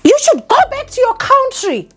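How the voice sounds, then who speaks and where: Woman doing a shrill angry woman, high voice, scolding, repeating her demands. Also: very animated, normal speed, fairly bright tone, slight background noise, exaggerated voice.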